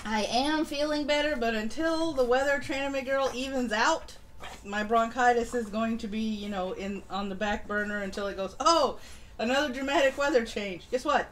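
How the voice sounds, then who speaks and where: A woman's voice: fairly high pitch, normal speed, fairly bright tone, slight background noise, rough recording. A woman hosting a morning livestream, chatting casually and greeting listeners, with dry sarcasm, self-mockery and casual swearing. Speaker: host of a morning livestream news show.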